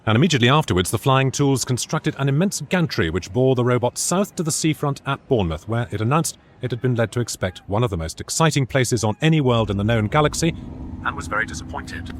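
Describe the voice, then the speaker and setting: A man's voice: medium pitch, animated, fast, lightly acted. A man playing an earnest radio news presenter reporting live, with a British accent, in a comedy drama. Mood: earnest, measured.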